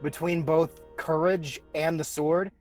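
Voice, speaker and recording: A man's voice: a little high, steady tone, slow, darkish tone, faint music behind. A man talking casually as a player in a tabletop game.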